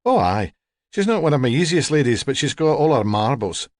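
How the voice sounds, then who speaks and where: A man's voice: mid-range voice, very animated, a little fast, no echo, clean studio sound, lightly acted. A man voicing a plain-spoken, chatty woman working as a home help, in an audiobook story. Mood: chatty, wry. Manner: chatty, forthright, unbothered.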